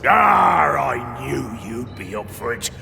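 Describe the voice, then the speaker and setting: Gravelly, swaggering pirate captain. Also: male voice, mid-range voice, big pitch swings, slow, raised voice, full character voice. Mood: bold, rousing. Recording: long hall reverb under music.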